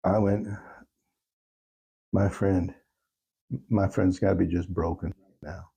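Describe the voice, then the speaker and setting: Male interview guest, low and husky, heavy creak, thoughtful and halting delivery. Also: steady tone, dark tone, poor audio. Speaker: podcast guest, funeral officiant. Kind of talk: reflective interview conversation.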